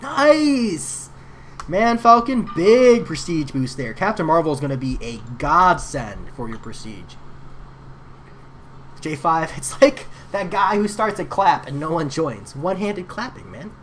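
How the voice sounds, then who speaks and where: A man's voice: on the high side, sing-song, unhurried, fairly bright tone, a little hiss or hum. A man streaming a mobile game, chatting casually with viewers while he plays. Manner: chatty, answers chat viewers. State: voice getting worn. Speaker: gaming streamer. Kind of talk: casual live commentary while playing.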